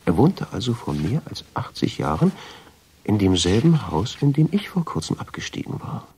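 An actor playing a brooding man who narrates a radio drama in the first person, measured and suspenseful. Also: lowish voice, big pitch swings, darkish tone, husky, heavily acted. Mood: reflective. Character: brooding man recounting a mysterious family discovery.

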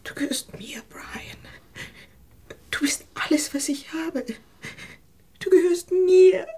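A woman's voice: very high-pitched, slow pace, mellow. An actress in a German radio play voicing a possessive, furious woman cornered as a murderer, theatrical and emphatic. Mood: anguished, possessive. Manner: theatrical, emphatic, breaking into desperate whispers.

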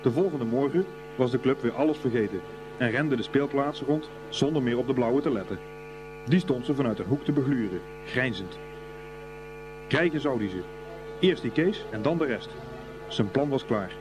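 A man's voice: mid-range voice, moderate pace, noisy background, lightly acted. A man narrating a scripted boys' school story for a radio play. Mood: storytelling.

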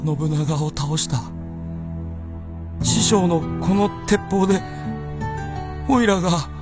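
A man playing a humble, anguished young gunman in a Japanese radio drama, speaking haltingly and with emotion. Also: high voice. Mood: shaken. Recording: long reverb with music behind.

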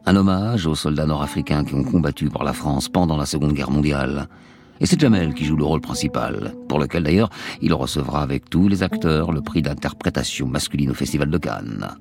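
A man narrating a radio documentary in a measured, storytelling way as the show's host. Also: a bass voice, vocal fry, a little mellow.

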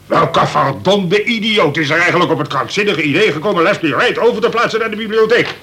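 An actor playing a worried, blustering prison governor, a cautious and officious colonel, in a Dutch radio play. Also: male voice, high-pitched, brisk, sharp tone, husky, heavily acted. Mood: exasperated. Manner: exasperated, swearing, officious.

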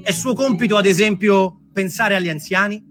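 A man tells a story in a theatrical, reflective way, with dramatic pauses and shifting pace. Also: high voice, fairly bright tone, faint music behind. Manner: dramatic pauses, shifts between slow and quick phrases. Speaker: storyteller, stage performer.

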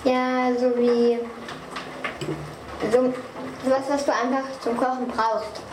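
A woman's voice: high voice, flat delivery, slow. A woman chatting casually in a room with dishes clinking. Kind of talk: casual chat. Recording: noisy echoey room, clattering dishes.

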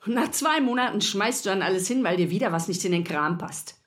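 A woman's voice: medium pitch, lively intonation, fairly quick, natural voice. An actress playing a worried, scolding middle-aged mother in radio play dialogue. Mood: sceptical.

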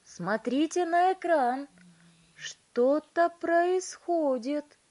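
A woman voicing an excitable, theatrical male inventor in a children's story, speaking in emphatic, drawn-out phrases. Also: mid-range voice, voice pushed up. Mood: excited, urgent.

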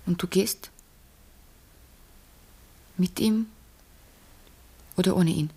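A woman's voice: on the low side, drawn-out words, dark tone, breathy edge, heavily acted. An actress playing a fatalistic young lady in a German radio drama, speaking in a cool, self-possessed manner. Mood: fatalistic, detached. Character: detached, fatalistic young lady, daughter of the house.

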